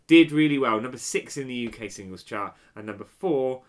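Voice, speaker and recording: A man with a mid-pitched voice, co-hosting a relaxed music podcast. He chats easily, drops dry jokes, and fills in chart and release details. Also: fairly quick. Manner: chatty, dry humour, gives background facts. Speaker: podcast co-host. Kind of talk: casual chat reviewing an album.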